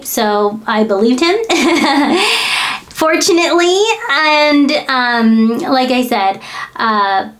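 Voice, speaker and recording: An American woman, a parent, hosting her own language-learning channel and talking candidly to the camera with frequent fillers. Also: on the high side. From United States. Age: adult parent. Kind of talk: personal talk to camera.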